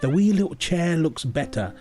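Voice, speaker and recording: A man's voice: a little high, sing-song, normal speed, over music, voice pushed up. A man voicing a curious, innocent little girl from a storybook, with a sing-song, childlike delivery. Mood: content.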